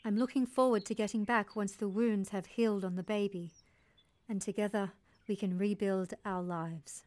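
A woman's voice: medium pitch, steady tone, normal speed, darkish tone, a little hiss or hum, close to an everyday voice. A woman playing a resolute young settler mother, telling her story in intense, dramatic first-person narration. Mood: subdued, resolute. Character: resolute young settler mother recounting a wild dog attack.

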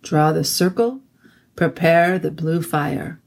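Woman doing a slow, gray, menacing werewolf. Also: low-pitched, creaky, heavily acted. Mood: cold menace. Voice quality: flat, gray. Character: gray, terrible male werewolf, grimly menacing.

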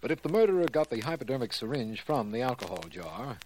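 An earnest American district attorney, played by a man, speaking in 1940s radio-drama style. His mid-pitched voice is admiring and loyal, on an old recording with faint noise. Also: sing-song, quick pace, a little mellow, natural voice, low-quality recording. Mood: earnest, impressed.

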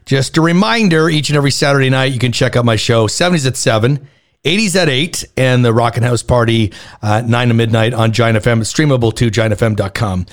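A man hosting a radio show, interviewing a caller in a relaxed, chatty way with long, rambling questions. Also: medium pitch, fairly quick. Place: Toronto.

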